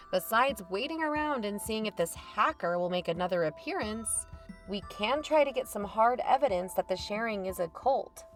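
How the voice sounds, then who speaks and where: A pragmatic podcast investigator played by a woman with a medium-pitched voice over soft music. She questions and mediates between colleagues in conversational audio drama dialogue. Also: animated, moderate pace, lightly acted. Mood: measured, questioning.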